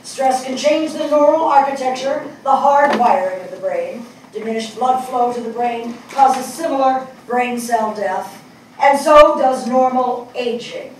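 An older woman acting a reflective, grieving writer with wry touches in a deliberate, theatrical stage reading. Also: fairly high pitch, natural voice. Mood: lecturing, wry. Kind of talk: dramatic stage reading of a play. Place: small theatre stage before seated audience. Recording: echoey stage hall, distant mic, faint noise.

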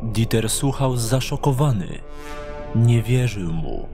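A man's voice: medium pitch, expressive, takes it slowly, creaky, music in the background. A man narrating in a scripted, dramatic storytelling style.

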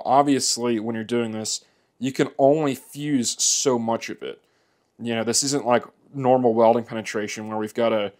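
A man's voice: medium pitch, a little mellow. A man in a workshop explaining a plastic welding repair in an easygoing, plainspoken way as he works. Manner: easygoing, plainspoken, talks while working. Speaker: motorcycle repair demonstrator. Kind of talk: hands-on how-to narration. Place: indoor workshop.

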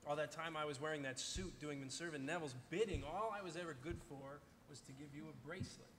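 A young man acting a sincere, confused boyfriend in a live script reading, hesitant and halting. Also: on the high side, fairly bright tone, close to an everyday voice. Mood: hurt, confused. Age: young adult. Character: bewildered, sincere young boyfriend under questioning. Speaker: actor in a live table read. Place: large convention hall panel stage. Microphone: table microphone. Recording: echoey convention hall PA, background noise.

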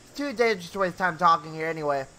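A man's voice: fairly high pitch, lively intonation, fast, bright, forceful tone, a little hiss or hum. A young man hosting a gaming video, reading story narration theatrically with casual asides and the odd stumble over words. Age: young adult. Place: small home bedroom. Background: game music.

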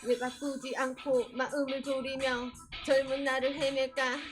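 Very high-voiced woman singing over music. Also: level tone, unhurried. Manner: sings ballads and raps with short spoken asides. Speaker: singer and streamer. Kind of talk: live-stream singing over backing tracks. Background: loud backing music.

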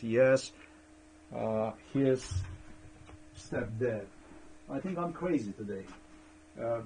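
A middle-aged man who learned English as a second language talks at his webcam in a small room. He stumbles over his words, repeats himself and fills gaps with "uh". His voice sits at an ordinary pitch for a man. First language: not English. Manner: hesitant, many fillers and restarts. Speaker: vlogger. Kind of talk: rambling screen-share commentary. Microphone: webcam at desk.

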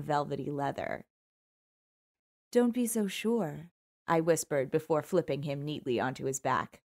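A woman reads a first-person audiobook with a wry, sultry edge. Her voice sits a little low, and her delivery stays smooth and knowing throughout. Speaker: audiobook narrator.

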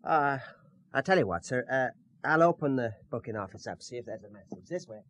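An actor playing an obliging, hesitant railway station employee in a 1970s radio drama, deferential in manner. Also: male voice, mid-range voice, lively intonation, fairly quick, a bit bright, slight background noise, natural voice.

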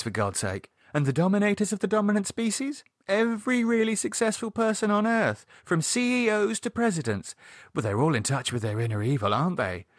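Man acting sly ruthless woman, British accent, bossy, teasing, higher lively pitch, storybook character. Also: slightly rough, no echo, studio quality, full character voice. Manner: teasing, forceful persuasion. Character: bossy scornful worldly woman, slyly ruthless. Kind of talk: audiobook character voice.

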